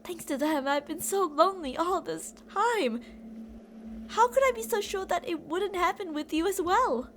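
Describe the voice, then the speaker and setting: A woman's voice: very high voice, normal speed, fairly bright tone, slight background noise. A woman playing an obsessive, delusional young captor in an intimate, rambling ASMR roleplay monologue addressed to a captive. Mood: wounded, bitter.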